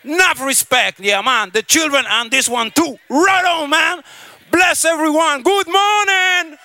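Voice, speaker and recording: High-pitched, bright-voiced male reggae festival MC. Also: measured pace. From Chile. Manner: exclamatory, repeats phrases, reggae slang. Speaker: festival stage MC. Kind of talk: hyping up a reggae festival crowd over a PA. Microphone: stage microphone.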